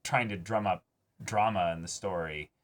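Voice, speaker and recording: Man, low voice, casual discussion, reaction show co-host, acts out imagined reactions. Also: moderate pace.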